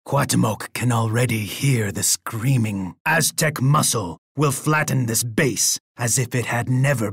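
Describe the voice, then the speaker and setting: A man acting a proud, bloodthirsty Aztec emperor for a video game, with a husky voice and an imperious, boastful manner. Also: mid-range voice, no room sound, clean studio sound. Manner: imperious, boastful, speaking of himself in third person. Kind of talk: video game character voice lines.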